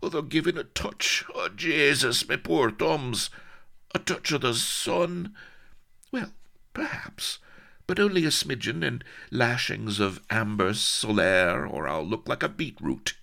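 A man's voice: lowish voice, big pitch swings, a little slow, creaky, bright, forceful tone, voice pushed up, heavily acted. A man voicing a sarcastic, anguished rogue of a colonel held captive, groaning out archaic oaths and insults in an audiobook story. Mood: pained, pleading. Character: hanging roguish Colonel, sarcastic, anguished, bombastic pirate captive.

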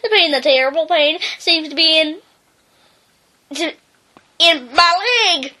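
A woman voicing a timid young male dog with a squeaky, whiny voice, read aloud from a children's storybook. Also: takes it slowly, pushed and bright, exaggerated voice. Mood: whimpering. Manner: whiny, panicked cries.

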